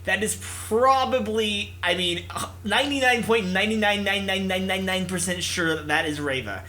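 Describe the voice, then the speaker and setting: A young-sounding gamer talking casually through a visual novel playthrough in a halting, self-interrupting way. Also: mid-range voice, pushed and bright. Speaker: gaming video creator. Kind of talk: casual let's-play, reading a visual novel aloud.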